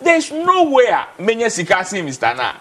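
A young man with a Ghanaian accent and a high, light voice talks animatedly from a TV studio chair. He slides between Twi and English and doubles up words as he presses his points, with a lapel mic catching him up close. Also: wildly swooping pitch, brisk, a bit bright. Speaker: studio guest. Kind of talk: casual TV studio discussion. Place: TV studio, indoors.

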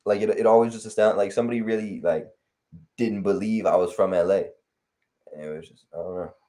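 Young man from LA, very low and mellow voice, loose rambling chat over a headset call. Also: fairly quick. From Los Angeles. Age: young adult. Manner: relaxed, rambling with many fillers. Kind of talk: casual chat. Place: home room on video call. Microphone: headset on video call.

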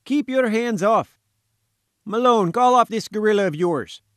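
Man putting on a plaintive, high-pitched little reporter. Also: lively intonation, moderate pace, dry recording, studio quality. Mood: plaintive, indignant. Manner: sputtering, bleating protest. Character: plaintive, indignant fat little male newspaper reporter.

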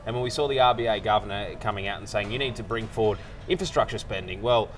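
A man's voice: lowish voice, fast. A man with an Australian accent giving blunt, confident political opinions on a casual panel. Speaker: political commentator. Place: indoor bar-restaurant, seated at a small table.

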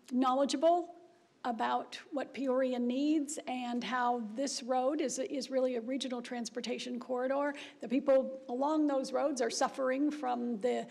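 A woman's voice: high voice, vocal fry. A middle-aged woman chairing a city council meeting at a desk microphone, speaking with frequent restarts. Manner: warm praise, repeated restarts. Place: council chamber.

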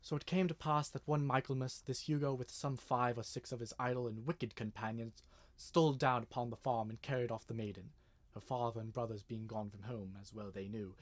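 A man playing an earnest, nervous gentleman with a formal manner in an old-style radio mystery. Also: medium pitch, close to an everyday voice. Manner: hesitant, formal. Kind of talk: 1930s radio mystery acting.

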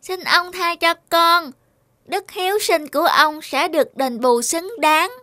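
A woman voicing a gentle, pleading magical female crane in a cartoon fairy tale. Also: extremely high pitch, measured pace, dry recording, studio quality, exaggerated voice. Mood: pleading, grateful. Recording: music under the voice.

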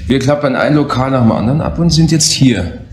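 An actor playing a sober police detective in a crime drama, matter-of-fact and deliberate. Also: male voice, medium pitch, lively intonation, a little mellow, slight background noise, lightly acted. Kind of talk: German crime drama acting.

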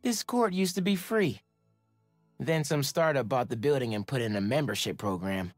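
An actor playing a sincere, enthusiastic teenage superhero in a video game, speaking casually. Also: male voice, medium pitch, lively intonation, normal speed, no room sound, soft background music, close to an everyday voice. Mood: disappointed. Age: young adult. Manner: casual, earnest.

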